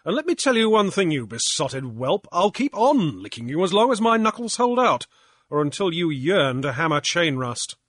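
A man reading aloud and voicing a tough ship captain who barks brisk orders. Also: a little high, big pitch swings, dry recording, studio quality, pitched above the performer's own voice. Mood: commanding. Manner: brisk and commanding, barking orders. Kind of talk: audiobook character voice.

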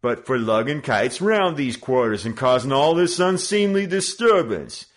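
A man's voice: medium pitch, lively intonation, measured pace, voice pushed up, close to an everyday voice. A man voicing a young male hoodlum gang leader who bullies and mocks in slangy tough talk. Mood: mocking. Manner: mock-judicial, bullying, slangy tough talk.

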